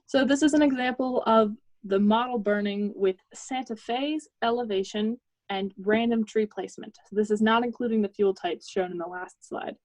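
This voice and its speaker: A young woman giving a technical project presentation as a student, in a measured, explanatory way. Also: a little high, a little mellow, no room sound, rough recording.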